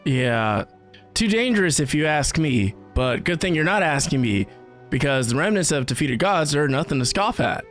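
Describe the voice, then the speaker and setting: A man giving casual, excitable, slangy reaction commentary to a video game story. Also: on the high side, moderate pace. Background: game music.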